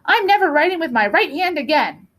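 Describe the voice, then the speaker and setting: A woman's voice: sits high, very animated, brisk, fairly bright tone, voice pushed up. A woman reading the part of an earnest, strong-willed, bookish young girl in a storybook. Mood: defiant.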